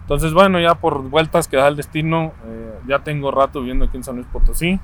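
A man in his thirties with a Mexican accent, thinking aloud and explaining ideas step by step, often pausing to find the word. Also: on the high side, steady tone, fairly bright tone, a little hiss or hum. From Mexico City, Mexico. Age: 30s. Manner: thoughtful, explains step by step with frequent hesitations. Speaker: interviewee. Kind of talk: casual conversation.

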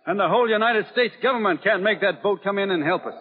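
An actor playing a stern, weary, commanding army doctor with a Southern US accent in an old film drama. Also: male voice, high-pitched, fast, big-room sound, close to an everyday voice. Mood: weary, bitter. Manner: forceful, clipped orders. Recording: muffled, narrow-band old film soundtrack.

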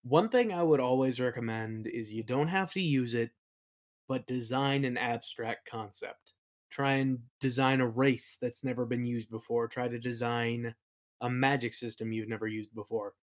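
A podcast guest chatting casually about creative work, a little hesitant and softening opinions as they go. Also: medium pitch, steady tone, moderate pace. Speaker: interview guest, creator of a fantasy audio play. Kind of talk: casual interview chat about worldbuilding.

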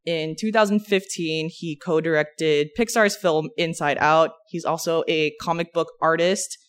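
A woman's voice: deep voice, a little slow, clean tone. A young woman hosting a podcast, introducing a guest in an upbeat way and asking him questions. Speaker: podcast host. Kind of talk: hosting an interview.

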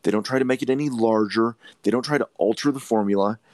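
A man's voice: mid-range voice, quick pace, a little mellow, dry recording, clean studio sound. A man co-hosting a podcast, giving casual film opinions emphatically and repeating phrases for stress. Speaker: podcast co-host. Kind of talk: casual film review chat.

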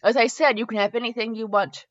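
A woman playing a rich, smitten old-time gentleman with a transatlantic accent, theatrical and ardent in romantic dialogue. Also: on the high side, fairly even intonation, fast, lo-fi sound, pitched below the performer's own voice, natural voice. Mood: devoted, reflective.

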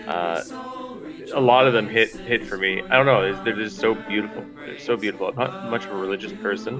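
A man's voice: lowish voice, steady tone, fast talker, over music. A man co-hosting a casual podcast, rambling and profane, laughing often. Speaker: podcast co-host. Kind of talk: casual chat.

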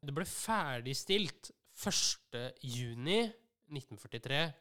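Smooth-voiced man co-hosting a casual podcast. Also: medium pitch, lively intonation, sharp tone. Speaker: podcast co-host.

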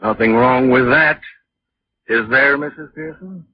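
Casting: shrewd radio-play inspector, mid-pitched, dry and probing, muffled vintage recording. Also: male voice, a little slow, slightly rough. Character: shrewd, sardonic male police inspector. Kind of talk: 1940s radio acting.

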